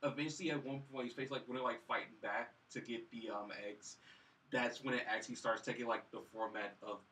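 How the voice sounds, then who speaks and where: A man's voice: medium pitch, steady tone, fast talker, bright, forceful tone, distant mic, low-quality recording. A man chatting casually as a podcast panelist about films, rambling and leaning heavily on the word "like". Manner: rambling, heavy use of "like". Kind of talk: casual chat.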